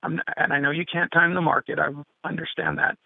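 A man's voice: fairly high pitch, level tone, brisk, gravelly, dry recording. A man in his fifties calling in to a radio show, hesitant and self-deprecating, with lots of ums. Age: 50s. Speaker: caller. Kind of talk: call-in question to a radio show. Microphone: telephone. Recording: tinny phone line.

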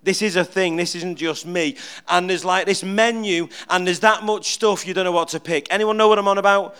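A middle-aged preacher with a high, notably clear and smooth voice. He talks to his church in a loose, jokey way, stumbling over repeated words and drawing the congregation in with questions. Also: steady tone, brisk, a bit bright. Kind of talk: informal humorous sermon. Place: church, speaking at the front.

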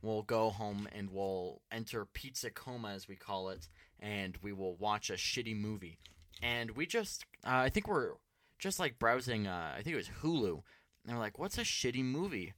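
A man's voice: fairly low pitch, animated, normal speed, fairly bright tone, dry recording, studio quality. A young man hosting a podcast, rambling through a story with lots of "like" and some swearing. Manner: profane, filler-heavy, lots of "like". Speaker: podcast host.

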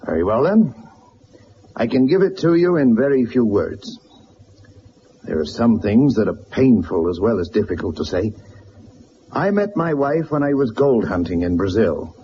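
A male actor plays a powerful middle-aged businessman in a radio drama, proud and blunt, with halting, emphatic delivery. His voice is dark and swings in pitch, over a faintly noisy recording. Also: big pitch swings, lo-fi sound, close to an everyday voice. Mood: pained, confessional.

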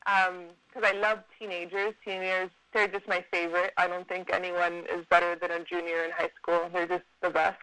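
Guest: bright, chatty woman, thirties, from Miami, dry close phone sound. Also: lowish voice, level tone, a little fast, few pauses, clear, resonant tone, dry recording, low-quality recording. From Miami, USA. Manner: upbeat and chatty. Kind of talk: casual interview.

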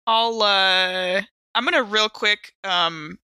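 A woman's voice: medium pitch, takes it slowly, very noisy. A woman taking part in a tabletop game as a player, chatting casually and improvising her character's actions. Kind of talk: casual improvised role-play chat.

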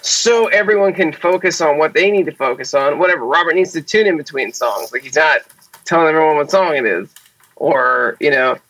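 A man's voice: fairly high pitch, a little fast, fairly bright tone, rough recording. A man who hosts a podcast and plays drums, explaining at length in a rambling, casual way. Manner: rambling, explanatory, with fillers and laughs. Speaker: drummer and podcast host.

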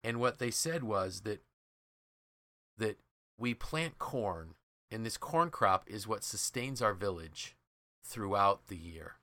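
Male podcast host, lowish pitch, forceful bright tone, dry sound, emphatic, enthusiastic, conversational storytelling. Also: animated, takes it slowly, no room sound. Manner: enthusiastic, emphatic, acts out quoted dialogue. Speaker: podcast host and leadership trainer.

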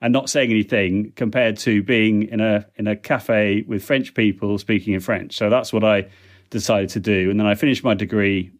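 A man's voice: lowish voice, steady tone, moderate pace. A middle-aged man, a podcast guest, talking chattily with frequent ums and small restarts as he answers questions. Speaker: guest, language technology consultant. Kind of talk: relaxed interview answers.

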